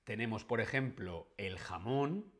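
Slow, patient Spanish teacher man. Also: lowish voice, expressive, a bit bright. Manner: slow, clear, repeats words for learners; reads viewer chat questions aloud. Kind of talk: live-streamed language lesson.

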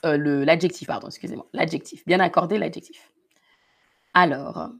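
A woman's voice: deep voice, animated, normal speed, creaky, fairly bright tone, husky. A woman with a Parisian accent, from the Paris region, teaching a live-streamed French lesson with careful explanations and repeated key words. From Paris region, France.

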